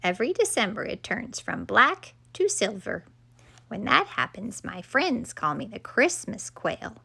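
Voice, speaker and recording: Storybook quail, male, proud and pompous, voiced by a woman. Wildly varied pitch, gravelly edge, unhurried. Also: big pitch swings, pushed and bright, exaggerated voice. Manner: pompous, showy introductions and explanations. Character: talking male quail, proud, cheeky and self-important.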